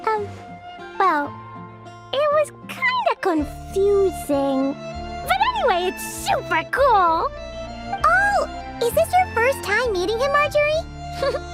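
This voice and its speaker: A woman voicing a small, excitable, loyal sprite companion in an animated video game, with a squeaky childlike voice. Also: slow pace, pauses often, loud music behind the voice, heavily acted. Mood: cheerful, chatty.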